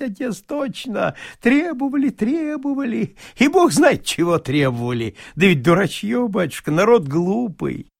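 Man playing a fawning, tipsy old peasant bailiff, high sing-song voice. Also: gravelly, dry recording, clean studio sound, full character voice. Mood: wheedling. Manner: sing-song, gushing, wailing.

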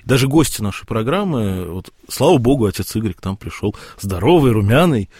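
Male co-host, lowish and rough-edged, chatting casually and searching for words in a dry studio. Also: sing-song, fairly quick, darkish tone, dry recording. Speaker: radio co-host. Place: radio studio. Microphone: studio microphone.

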